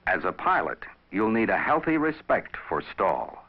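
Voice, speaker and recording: Deep-voiced instructional narrator, man, dull sound. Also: big pitch swings. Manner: measured, didactic, explanatory. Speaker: training film narrator. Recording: dull vintage training film soundtrack.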